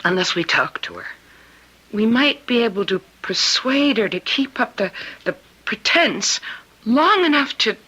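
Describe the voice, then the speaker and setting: An actress playing a caring, earnest hospital doctor with a sympathetic manner in old-time radio drama. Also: female voice, a little high, very animated, unhurried, sharp tone, slightly rough, full character voice, poor audio. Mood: pleading.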